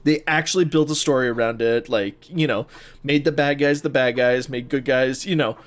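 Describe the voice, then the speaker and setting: A man's voice: medium pitch, fairly even intonation, moderate pace. A man co-hosting a podcast, talking casually like a fan, informal and a little sweary. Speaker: podcast co-host. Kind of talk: casual chat about movies.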